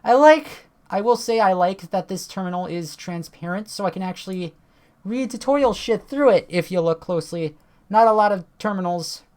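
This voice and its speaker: A woman with a tired voice, chatting casually and swearing now and then as she reads on-screen text and walks through software at her computer. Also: mid-range voice, animated, normal speed. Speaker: tech video creator.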